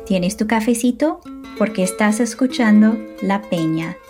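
A woman's voice: mid-range voice, measured pace, music in the background. A woman hosting a podcast, giving a friendly scripted introduction. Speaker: podcast host.

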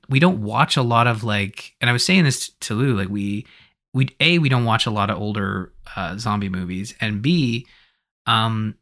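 A man's voice: medium pitch, fairly bright tone. A man hosting a podcast, discussing films in a casual, conversational and hedging way. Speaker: podcast host.

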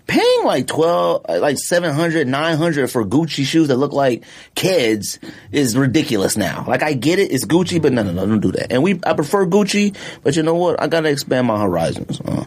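Husky, animated man talking sneakers. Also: on the high side. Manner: animated, slangy, laughs often. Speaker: podcast co-host. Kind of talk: casual sneaker chat.

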